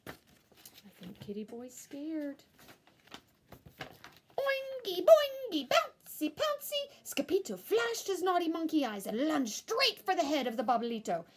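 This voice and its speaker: A woman teacher reading a picture book aloud to young children in a theatrical way, with hushed asides. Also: high-pitched, very animated, measured pace, a little hiss or hum. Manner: theatrical, dropping into whispered asides to young listeners.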